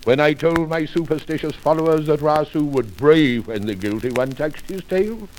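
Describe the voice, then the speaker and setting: A man's voice: on the high side, moderate pace, lightly acted. Man playing a proud, commanding desert chieftain, old radio. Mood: smug. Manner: pompous, formal archaic diction. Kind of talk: 1940s radio drama acting. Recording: noisy old radio recording with clicks.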